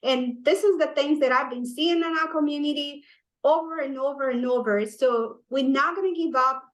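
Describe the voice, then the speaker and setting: A woman's voice: high voice, normal speed, low-quality recording. A woman community advocate speaking earnestly on a virtual press call. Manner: earnest, repetitive, rallying.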